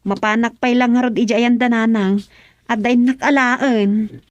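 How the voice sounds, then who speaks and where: A woman's voice: a little high, steady tone, normal speed, dull audio, over loud music, lightly acted. A woman with an Ilocano Filipino accent acting as an inquisitive, nagging young village daughter in a radio serial. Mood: mildly fretful.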